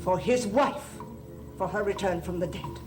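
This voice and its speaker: A woman's voice: medium pitch, unhurried, over loud music, lightly acted. An actress playing a solemn, formal, centuries-old priestess devoted to her gods in a gothic soap opera. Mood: grave accusation. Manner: grave, formal, portentous.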